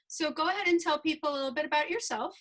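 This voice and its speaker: A woman hosting a casual interview, talking in a warm, meandering way with many pauses and fillers. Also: high-pitched. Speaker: host.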